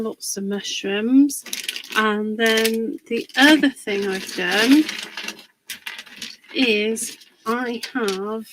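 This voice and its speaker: A woman, husky from a cold, hosts a relaxed live crafting session and talks her viewers through her project. Her voice sits a touch high, and she takes her time, chatty and friendly with the people watching. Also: background noise. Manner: chatty, greets viewers by name. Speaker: craft livestream host. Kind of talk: casual live craft demonstration.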